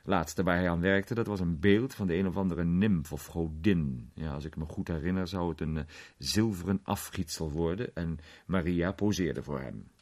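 Deep, husky-voiced worldly male storyteller. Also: moderate pace, darkish tone. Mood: reminiscing. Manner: conversational, hesitant, theatrical storytelling. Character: worldly male film actor recounting an unsettling memory. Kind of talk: radio drama storytelling in character.

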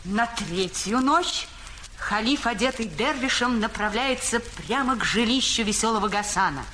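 A man narrating a fairy tale in a measured storytelling manner. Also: high voice, animated, pushed and bright, slight background noise.

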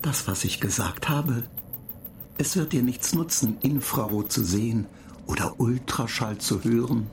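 Radio drama: a dryly superior machine intelligence, clinical, measured, middle-register voice over clear background noise. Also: male voice, mid-range voice. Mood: coolly superior. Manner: matter-of-fact, clinical, measured. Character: calm, pedantic male computer data agent, dryly superior. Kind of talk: radio play acting. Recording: clear noise bed behind the voice.

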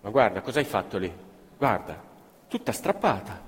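An actor playing a poor, scrappy boy on stage, lively and mocking. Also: on the low side, normal speed, a little hiss or hum, voice pushed down. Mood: boastful. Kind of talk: stage theatre acting. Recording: live stage recording in a reverberant theatre.

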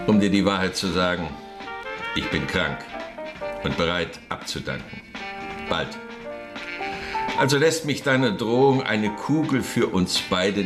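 Casting: tired, unflinching KGB boss for radio play, very low voice, guitar music behind. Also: male voice. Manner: authoritative, measured, disdainful. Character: stern, weary, ailing male KGB chairman, unimpressed by threats. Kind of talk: German radio drama acting.

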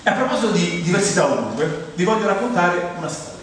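Male stage monologuist, highish lively voice, deliberate dramatic pauses, comic storytelling. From Rome, Italy. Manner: deliberate, repetitive build-ups with dramatic pauses. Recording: distant, very echoey hall, faint noise.

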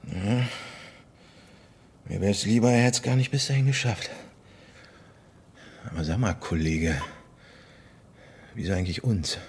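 An actor playing a gruff, distrustful injured undercover policeman, blunt and probing, in radio drama dialogue. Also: lowish voice, measured pace, pauses often, a little mellow. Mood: irritated, suspicious.

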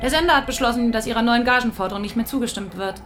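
A woman's voice: fairly high pitch, rapid-fire, fairly bright tone, faint music behind, close to an everyday voice. An actress playing a cool, ambitious, status-minded woman TV executive in a naturalistic radio drama. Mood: cold, firm. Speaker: TV production executive.

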